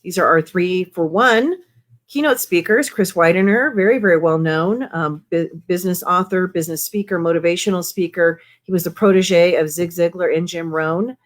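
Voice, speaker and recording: A woman's voice: lowish voice, moderate pace. A woman hosting a live show, going over announcements in a chatty, encouraging way. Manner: chatty and encouraging, many fillers. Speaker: host.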